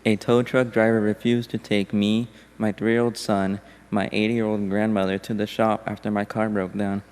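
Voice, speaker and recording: A man with a low, smooth and resonant voice reads a poem aloud in a church service. His recitation is steady and deliberate. Long reverb from the church hall trails after each line. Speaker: congregation member reading a poem. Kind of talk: measured poetry reading in a church service. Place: church sanctuary.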